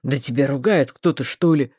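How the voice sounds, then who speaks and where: Woman putting on a gruff, folksy male mage. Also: very deep voice, moderate pace, mellow, dry recording, studio quality, voice pushed down. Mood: teasing. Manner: rough, folksy, rambling. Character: bluff, talkative older male mage, teasing.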